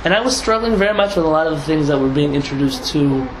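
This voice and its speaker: A young man from San Diego, a rabbi talking casually to a group, lively and laughing with many restarts and asides. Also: fairly high pitch, fast talker. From San Diego, United States. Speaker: rabbi teaching a class. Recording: echoey room, audible noise.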